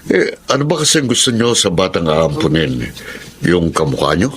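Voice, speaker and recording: An actor playing a blunt, teasing friend in a radio drama. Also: male voice, low voice, very animated, moderate pace, slight background noise.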